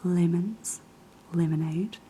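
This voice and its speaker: Young NZ woman, raspy post-sickness whisper, slow ASMR delivery, dark mellow tone. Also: lowish voice, slight background noise, rough recording. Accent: New Zealand. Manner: soft, unhurried whispering with many ums. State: hoarse after being sick. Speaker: ASMR creator. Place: kitchen of a quiet flat.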